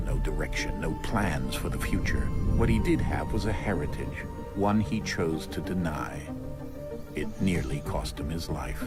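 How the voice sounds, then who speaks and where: An actor playing a grave, weary middle-aged father in solemn, ominous, measured video game narration. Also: very deep voice. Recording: loud cinematic music bed.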